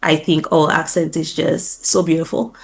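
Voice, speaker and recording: Warm, low-pitched woman with a Dominica accent. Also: normal speed, gravelly. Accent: Dominican (Dominica) Caribbean English. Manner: animated and proud, laughing often, with fillers like "um" and "like". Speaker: guest, teacher. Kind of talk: casual radio interview.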